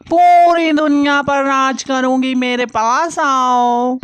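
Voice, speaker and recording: A woman's voice: high-pitched, fairly even intonation, slow pace, no echo. A woman voicing a power-hungry, imperious witch in a horror cartoon. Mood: gloating, menacing.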